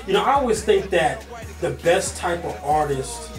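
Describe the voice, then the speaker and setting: A man's voice: a little high, expressive, normal speed, echoey room, distant mic, music in the background. A man hosting a hip-hop magazine interview, asking questions in an upbeat, hype style. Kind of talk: casual interview.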